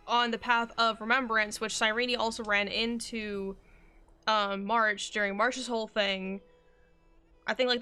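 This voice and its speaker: Young woman, game streamer, casual rambling chat, bright tone. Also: mid-range voice. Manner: rambling, chatty, thinking aloud. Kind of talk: casual live-stream commentary.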